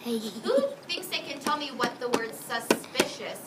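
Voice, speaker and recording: A woman's voice: on the high side, lively intonation, unhurried. A woman teacher doing an interactive classroom read-aloud, instructive, carefully enunciating vocabulary for young pupils. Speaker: second-grade teacher. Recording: echoey classroom, distant mic, noisy background.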